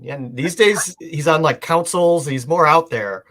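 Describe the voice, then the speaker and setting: A man's voice: on the high side, measured pace, a bit bright. A man chatting casually as a guest collector, explaining things informally and thinking aloud. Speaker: guest comic art collector.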